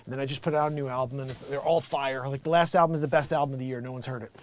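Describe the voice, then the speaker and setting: An American man chatting casually to livestream viewers as a musician host, in an excitable, rambling, hyped-up way. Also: mid-range voice, rapid-fire. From United States. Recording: loud music behind the voice.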